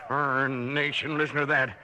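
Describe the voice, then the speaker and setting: An actor playing a cranky, folksy old sheriff with a Western drawl in a radio western. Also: male voice, medium pitch, little pitch movement, moderate pace, pushed and bright. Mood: grumbling, folksy. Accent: American Western drawl. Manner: folksy, blustering, colorful frontier slang. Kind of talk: 1950s radio western acting. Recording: old radio recording with faint noise.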